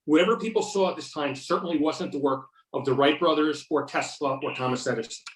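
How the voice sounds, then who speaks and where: A man's voice: fairly high pitch, a little fast, fairly bright tone, close to an everyday voice. A man playing an earnest, argumentative agent who believes in UFOs, reading in an amateur readers theater over a video call. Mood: keen, insistent. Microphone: video or conference call. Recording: roomy, distant video-conference microphone.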